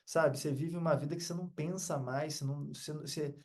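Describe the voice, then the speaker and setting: Brazilian guy on a podcast, bit high pitched, earnest and reflective, chatting motivational stuff. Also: steady tone, fast, a little mellow. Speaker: podcast guest. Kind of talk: casual podcast conversation.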